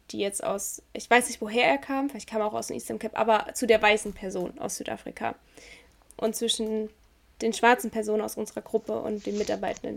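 A German woman in a reflective conversation, talking in a thoughtful, measured way and searching for words as she goes. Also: on the high side, vocal fry.

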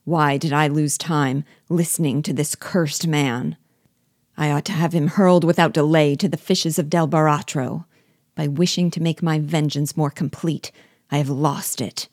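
A woman's voice: low voice, fairly even intonation, unhurried, a little mellow, no room sound, studio quality. A woman voicing a vengeful dwarf sorcerer in an audiobook, commanding and passionate, with a raised voice. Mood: furious cursing. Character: vengeful dwarf sorcerer, furious man hurling curses. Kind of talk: dramatic audiobook character reading.

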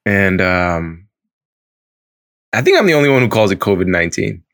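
Young male co-host, low-pitched, dry close sound, jokey. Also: big pitch swings, normal speed, fairly bright tone, no room sound. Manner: teasing, joking banter. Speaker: podcast co-host. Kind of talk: casual chat. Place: home, on a video call.

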